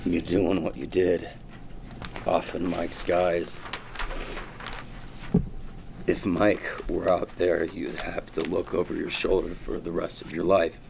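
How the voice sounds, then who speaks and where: A man's voice: low voice, flat delivery, measured pace, creaky, darkish tone, slight background noise, full character voice. A man acting the part of an exhausted, guilt-ridden young man, subdued and hesitant, in a drama table read. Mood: quietly accusing. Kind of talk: acted script at a table read.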